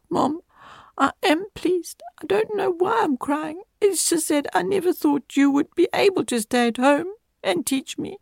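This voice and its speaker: A woman's voice: on the high side, no echo, clean studio sound, pitched above the performer's own voice. A woman voicing an earnest young boy in a storybook reading, talking in a pleading, emotional way.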